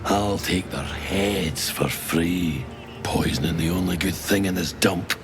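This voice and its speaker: A man's voice: low voice, unhurried, a bit bright, faint music behind. A man playing a grim, vengeful boss in a video game, commanding and menacing. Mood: cold menace. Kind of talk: video game character acting.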